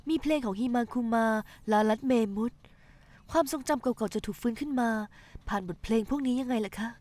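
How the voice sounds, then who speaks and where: A woman voices a caring young daughter-in-law. She speaks softly and politely, and her voice sits a little high and sweet. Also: fairly quick, natural voice. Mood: tender. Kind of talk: dubbed television drama acting.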